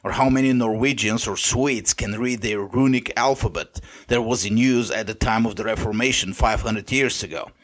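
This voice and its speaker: A man with a Bulgarian accent, whose first language is Bulgarian, hosting a podcast and lecturing in a measured monologue with occasional restarts. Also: fairly low pitch, fairly bright tone. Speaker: podcast host. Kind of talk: monologue commentary.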